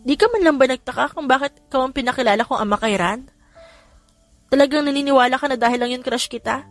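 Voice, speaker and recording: A woman's voice: high voice, quick pace, pitched above the performer's own voice. A woman voicing a shy, emotional young woman in a romance audiobook, with music behind. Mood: tearful, tender. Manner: stammering, tearful.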